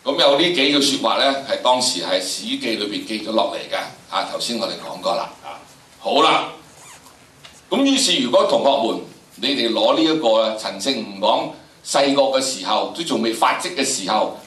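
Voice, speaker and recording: An older man with a Hong Kong Cantonese accent teaches in an emphatic, questioning style. His voice is bright and forceful, with stretched phrases for stress. He is picked up from a distance in a very echoey lecture theatre. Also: on the low side, lively intonation. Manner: emphatic, drawn-out phrasing with frequent rhetorical questions. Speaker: teacher of history and drama. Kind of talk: animated lecture to students. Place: large indoor lecture theatre.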